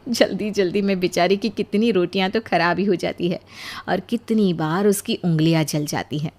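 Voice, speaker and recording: A woman's voice: fairly low pitch, moderate pace, a little mellow. A woman narrating a scripted story as its storyteller.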